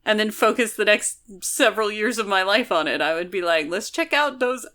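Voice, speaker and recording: Bright-voiced woman chatting about books. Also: medium pitch, normal speed. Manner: opinionated, argues points at length. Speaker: podcast co-host. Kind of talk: casual book discussion.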